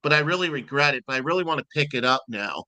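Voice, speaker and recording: A man with a loud voice, a guest timekeeper rambling expressively in a casual interview chat. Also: fairly high pitch, lively intonation, fast talker, no room sound.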